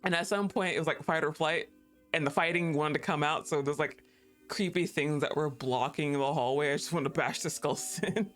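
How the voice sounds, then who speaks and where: A woman's voice: low-pitched, moderate pace, faint music behind. A young woman streaming, chatting casually and rambling, laughing often and swearing offhandedly.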